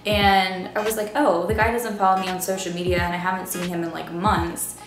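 A woman's voice: lowish voice, flat delivery, a little fast. Chatty woman in a roomy echoey room. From Baltimore area, Maryland. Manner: rambling, filler-heavy "like" talk. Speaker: vlogger. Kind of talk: casual chat to camera. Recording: roomy echo with music behind.

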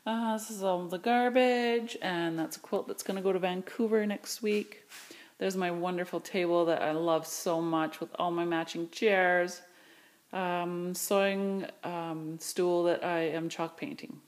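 A woman's voice: lowish voice, fairly even intonation, a little slow. A woman filming with a handheld phone as she walks through her large indoor craft studio, chatting eagerly about her new shelves and storage. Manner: chatty, enthusiastic, rambling with fillers. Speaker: studio owner giving a tour. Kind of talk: casual walkthrough vlog. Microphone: handheld phone camera.

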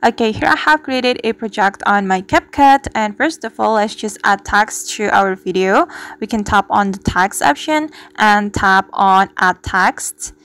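Woman doing a how-to screen tutorial, mid-pitched voice, talking viewers through steps. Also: measured pace, fairly bright tone. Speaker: tutorial host. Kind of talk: spoken screen-recording tutorial.

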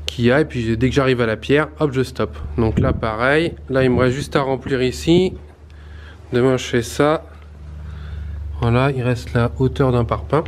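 A man's voice: medium pitch, moderate pace, slight background noise. A man in his thirties filming a casual walkthrough of his renovation work in a stone basement, explaining as he goes with many fillers and restarts. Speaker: renovation vlogger. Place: stone-walled basement under renovation, indoors. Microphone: handheld camera, close to face.

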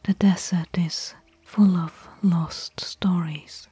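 A woman playing a gentle, bookish desert explorer and caring companion in a soft, whispered ASMR roleplay. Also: on the low side, steady tone, no room sound, heavily acted. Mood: quietly earnest. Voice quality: breathy, whispered. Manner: slow, gentle, pausing between short phrases.

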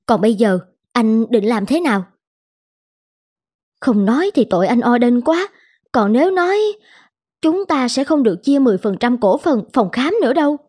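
A woman playing an earnest, easily swayed young wife in an animated drama. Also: on the high side, moderate pace, breathy edge, big-room sound. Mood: worried, doubtful. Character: young married woman, materialistic but softening, easily swayed. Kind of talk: Vietnamese animated drama dubbing. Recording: music playing behind the voice.

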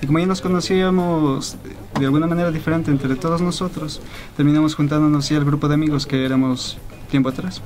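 A man's voice: a little high, steady tone, fast, darkish tone. A young man in his twenties from Ecuador, a band guitarist, answering interview questions casually with many fillers in a music studio. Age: 20s.

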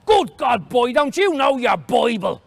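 A man voicing a flustered, slightly cross Irish uncle, an old soldier explaining haltingly in a story reading. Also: very high-pitched, sing-song, vocal fry, dry recording, pitched above the performer's own voice, exaggerated voice. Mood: impatient.

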